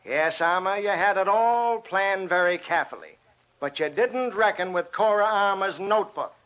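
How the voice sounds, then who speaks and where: An actor playing a stern, relentless detective in an old radio drama, with a deliberate, accusing delivery. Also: male voice, sits high, close to an everyday voice. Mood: sternly accusing. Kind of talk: 1940s radio drama acting. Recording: muffled old radio recording.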